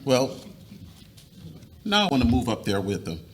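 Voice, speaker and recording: A medium-pitched male voice , a man reading the part, gives us an earnest middle-aged husband and father. He explains himself in a confessional way, as in a dramatic reading of a domestic novel. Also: wildly swooping pitch, measured pace. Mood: confessing, earnest. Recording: live reading with room noise.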